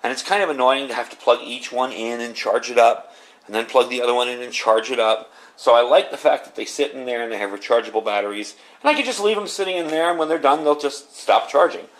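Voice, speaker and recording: A man with a mid-pitched voice talks through a product demonstration. His delivery is casual and unscripted, with plain-spoken explanations. He sounds conversational, like a reviewer walking someone through features. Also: fast.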